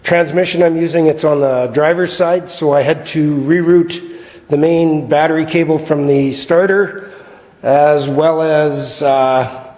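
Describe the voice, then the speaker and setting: A slightly hoarse man casually talking through his work in a shop garage, with many fillers and some throat clearing. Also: fairly high pitch, measured pace. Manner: relaxed, rambling, many "uh" fillers. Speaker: garage builder showing his project. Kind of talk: casual workshop vlog. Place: large shop garage, indoors. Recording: reverberant garage with long echo.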